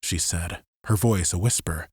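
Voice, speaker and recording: A man's voice: low voice, unhurried, slightly rough, no echo. A man reading dramatic scripted narration as an audiobook narrator.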